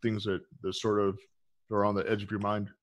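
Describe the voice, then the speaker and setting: A man's voice: fairly low pitch, little pitch movement, brisk, no room sound. Rambling male interviewer, dry sound. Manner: rambling, many fillers, affirming. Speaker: podcast host, interviewer. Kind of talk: interview questions.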